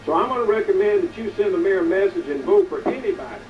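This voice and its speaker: A man's voice: sits high, sing-song, fast. Indignant man delivering a preachy commentary. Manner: indignant, preachy, many hesitations. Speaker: community activist hosting a local show. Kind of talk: editorial commentary to camera. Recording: distant, dull, slightly noisy recording.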